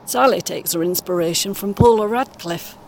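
A woman playing a kindly, encouraging British grandmother in her eighties in an audio play, speaking gently and reassuringly. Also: normal speed, natural voice. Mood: reminiscing. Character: kindly, encouraging 81-year-old grandmother on a coach. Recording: clear vehicle rumble noise. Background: vehicle ambience.

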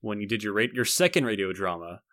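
A young man co-hosting a podcast, reminiscing casually in a halting, rambling way with frequent repeated words. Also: on the low side, very animated, a bit bright, no room sound, studio quality. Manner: halting, repetitive, rambling delivery. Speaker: podcast co-host.

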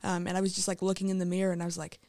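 A woman stand-up comedian from the American South chatting on a podcast, talking fast and filling her sentences with "like". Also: lowish voice, completely flat delivery, a little mellow, no echo, clean studio sound. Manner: chatty, quick, lots of "like" fillers. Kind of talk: casual podcast chat.

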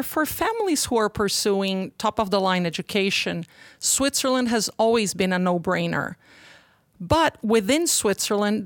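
Woman podcast host, mid pitch, polished scripted delivery, dry close recording. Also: dry recording. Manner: polished, reads prepared lines. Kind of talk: scripted interview questions.

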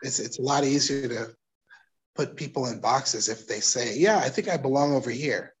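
A man from Brooklyn with a New York accent, speaking thoughtfully as an interview guest, pausing and restarting as he works through his ideas. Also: medium pitch, a little hiss or hum. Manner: thoughtful, hesitant, with restarts and soft laughs. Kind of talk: casual interview conversation.

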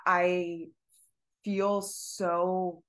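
A woman's voice: lowish voice, level tone, drawn-out words, fairly bright tone. A woman dancer in a podcast conversation who speaks earnestly and searches for her words.

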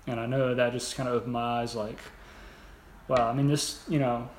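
A young adult man co-hosting a podcast in casual, halting, rambling, informal talk. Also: medium pitch, little pitch movement. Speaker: podcast co-host. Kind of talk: casual conversational podcast chat. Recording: echoey room, background noise.

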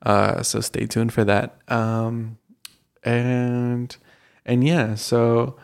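A man's voice: mid-range voice, little pitch movement, drawn-out words, creaky, smooth. A young man hosting a casual solo podcast, rambling with lots of fillers. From United States, Colombian family. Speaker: podcast host.

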